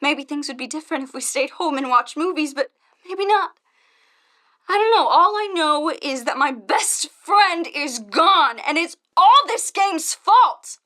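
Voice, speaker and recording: A woman playing a shaken, grieving young friend of a victim, halting and emotional in a radio drama interview. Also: high-pitched, expressive, bright, forceful tone, heavily acted. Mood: anguished, accusing.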